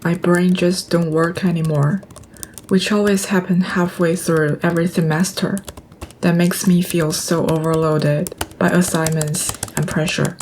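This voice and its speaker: A woman's voice: lowish voice, flat delivery, unhurried, darkish tone, slight background noise. A young woman student narrating her own audio piece in a scripted, earnest, reflective way. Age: young adult.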